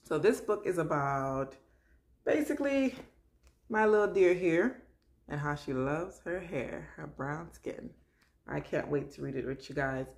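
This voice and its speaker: A woman, around her thirties, speaking as the host of a children's story time, in an expressive storytelling manner. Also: deep voice, unhurried, creaky, slightly rough. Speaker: host of a children's read-aloud show. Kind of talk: children's story time, picture book read aloud.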